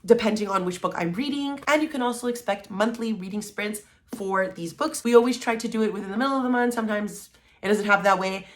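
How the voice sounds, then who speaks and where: A woman's voice: medium pitch, quick pace. A woman hosting a book vlog, talking to viewers in an enthusiastic, informal and slangy way. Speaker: book vlogger, channel host. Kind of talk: chatty scripted-and-casual book vlog to camera.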